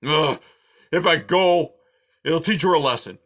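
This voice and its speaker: A man voicing a grumpy, complaining male porcupine who snarls and shouts in loud outbursts. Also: fairly high pitch, unhurried, pitched above the performer's own voice. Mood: grumbling.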